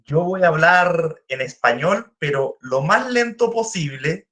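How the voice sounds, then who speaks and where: A man from Chile with a Chilean Spanish accent, giving a guest lecture over a video call, speaking slowly and deliberately with frequent "eh" fillers. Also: on the high side, animated, fairly bright tone. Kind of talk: online academic lecture.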